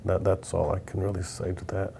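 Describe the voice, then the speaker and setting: A man's voice: low voice, flat delivery, a little fast, gravelly, mellow, a little hiss or hum. A man answering interview questions in a broadcast studio, talking hesitantly and restarting his sentences.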